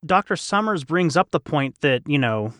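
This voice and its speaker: A man's voice: a little high, animated, moderate pace, fairly bright tone, no echo, clean studio sound. A man in a casual panel discussion, thinking aloud as he analyzes and explains. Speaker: cast member in a behind-the-scenes discussion.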